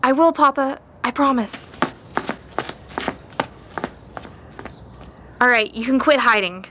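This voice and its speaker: Woman playing a bright, high-voiced young sorceress. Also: normal speed, a little hiss or hum. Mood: determined. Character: young female sorceress, earnest, headstrong, newly trained. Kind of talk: audio drama acting.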